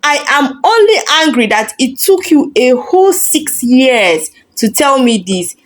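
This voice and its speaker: A woman's voice: high voice, sing-song, measured pace. A woman voicing a self-assured career woman in a story, composed, dignified and cutting. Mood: composed, defiant. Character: self-assured career woman calmly rejecting her boyfriend.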